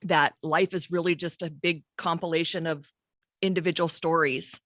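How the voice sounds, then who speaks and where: A woman in her forties hosting a casual podcast, candid and quick to laugh, swearing freely, recorded on a studio mic with a pop filter. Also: on the low side, steady tone, fairly bright tone, no echo. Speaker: podcast host. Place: home studio with shelves and plants.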